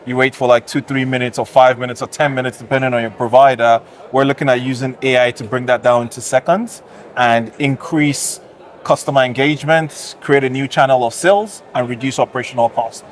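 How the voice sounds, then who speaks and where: A man from Nigeria, a tech founder and guest, explaining his business in a measured, list-like way during an interview at a conference. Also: mid-range voice.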